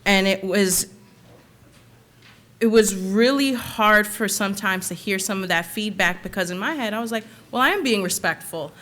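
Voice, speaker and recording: A woman's voice: medium pitch, normal speed, fairly bright tone. A woman panelist answering an audience question in a candid, reflective way, laughing now and then.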